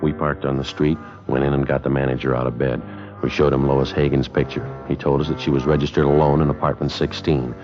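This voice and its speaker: An american radio cop, real deep flat matter-of-fact delivery, dull old 1950s broadcast sound. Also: male voice, fast, music in the background. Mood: deadpan, factual. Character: terse, unflappable male police detective narrating his case. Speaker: narrator and lead actor of a police radio drama. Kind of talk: 1950s radio acting, clipped scripted narration.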